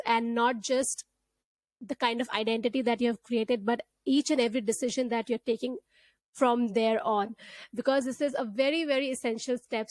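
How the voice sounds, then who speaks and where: A woman's voice: fairly high pitch, dry recording. A woman hosting a podcast, speaking earnestly and affirmingly in long, flowing sentences. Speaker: podcast host. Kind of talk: supportive interview response.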